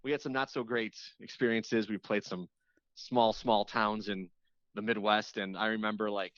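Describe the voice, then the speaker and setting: A man chatting casually as an interview guest, telling anecdotes in a halting, conversational way and acting out reactions. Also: on the low side, little pitch movement, a bit bright, no room sound, muffled recording. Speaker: podcast guest, radio host. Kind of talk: casual reminiscing chat.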